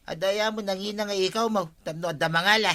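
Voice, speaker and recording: Put-upon husband for radio comedy, Ilocano accent, tense bright voice, grumbling retorts. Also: male voice, sits high, fairly even intonation, quick pace. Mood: defensive, exasperated. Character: exasperated, defensive husband of a nagging wife. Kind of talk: radio comedy drama acting.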